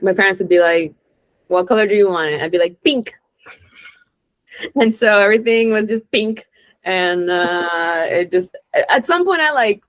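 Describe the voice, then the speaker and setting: A young adult woman from Honduras chatting casually as an interview guest, informal and expressive, peppering her stories with "like". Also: on the low side, no room sound. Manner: informal and expressive, peppered with "like", acts out quoted lines. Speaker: guest, real estate investor and entrepreneur.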